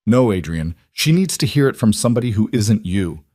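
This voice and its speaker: A man doing a gravelly old crime boss. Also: lowish voice, fairly quick, no echo, clean studio sound. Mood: wry. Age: 60s. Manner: calm, plain-spoken, lecturing. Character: older male crime boss, blunt and frank.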